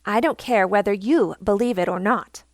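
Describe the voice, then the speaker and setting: A woman voicing a proud, excitable little male otter from a storybook, emphatic and provoking. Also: mid-range voice, normal speed, no room sound, studio quality, close to an everyday voice. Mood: defiant, triumphant.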